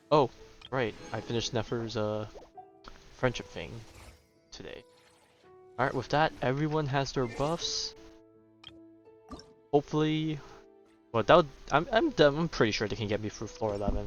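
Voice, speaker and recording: A man's voice: medium pitch, moderate pace, long pauses, faint music behind. A man giving casual, chatty live commentary as he streams a video game.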